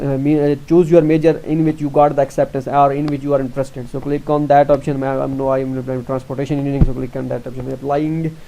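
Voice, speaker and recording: A young man from Pakistan with a Pakistani accent, explaining an online form step by step, halting often with fillers and restarts. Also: on the high side, mellow, low-quality recording. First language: Urdu. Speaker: tutorial presenter. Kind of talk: screen-recorded walkthrough.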